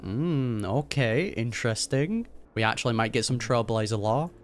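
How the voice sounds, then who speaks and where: A man's voice: mid-range voice, lively intonation, normal speed, a little hiss or hum. A man giving casual, slangy, off-the-cuff commentary as he reacts to a video game. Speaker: gaming reaction host.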